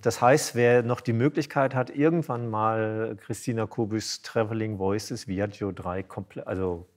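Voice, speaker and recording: Halting male moderator, fairly low voice. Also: steady tone. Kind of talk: concert introduction and interview.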